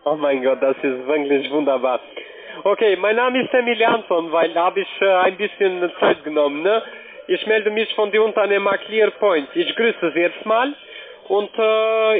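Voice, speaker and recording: A man who is not a native speaker, giving a polite, wordy, effusive phone sales pitch. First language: not German. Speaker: call centre sales agent. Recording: tinny narrow phone-line sound.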